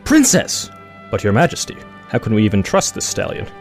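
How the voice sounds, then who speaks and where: A man's voice: fairly low pitch, huge pitch swings, a little fast, creaky, soft background music, exaggerated voice. A man acting a stern, dutiful pony royal guard in an audio drama, formal, protective and suspicious.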